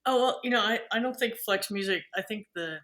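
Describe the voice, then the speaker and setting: Bright, animated woman telling stories. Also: mid-range voice, brisk. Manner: animated storyteller, acts out quotes. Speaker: guest, band conductor. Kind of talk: casual conversation, anecdotes.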